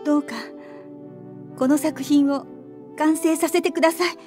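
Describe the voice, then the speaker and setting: A poised lady of the stage in an audio drama, commanding and insistent. Her very high voice carries formal, elegant phrasing over faint music. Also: fairly even intonation, measured pace, warm tone. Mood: pleading, resolute. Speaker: voice actor. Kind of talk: Japanese audio drama acting.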